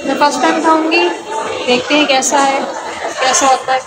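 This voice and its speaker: A woman's voice: sits high, fairly even intonation, moderate pace, over loud music, a loud crowd. A middle-aged woman, chatting casually to her phone and often repeating phrases. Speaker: vlogger. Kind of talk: casual family vlog chat. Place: busy indoor shopping mall and lift. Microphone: handheld phone at arm's length. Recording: noisy crowded mall, loud background din.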